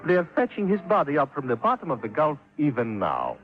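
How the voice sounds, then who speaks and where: An actor playing a flamboyant, greedy, self-admiring count, theatrical and effusive, in old-time radio style. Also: male voice, fairly high pitch, wildly swooping pitch, fairly quick. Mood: smug, breezy. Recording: muffled old radio recording, faint music.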